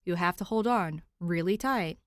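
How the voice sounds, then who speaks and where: A woman's voice: fairly low pitch, moderate pace, dry recording, close to an everyday voice. A woman voicing an exasperated, protective young male masked vigilante, weary and dry, in an audiobook story. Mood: resigned. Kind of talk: audiobook character dialogue.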